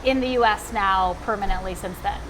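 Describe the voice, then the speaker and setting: A woman's voice: mid-range voice, brisk, fairly bright tone, rough recording. A woman hosting an interview show in a casual, chatty style, with eager informal affirmations. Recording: outdoor location with background ambient noise.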